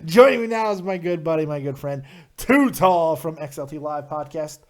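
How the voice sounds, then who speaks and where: A man's voice: a little high, lively intonation, normal speed, darkish tone. A man hosting a live stream, roasting his guest in a casual, mock-serious way. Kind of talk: casual joking chat.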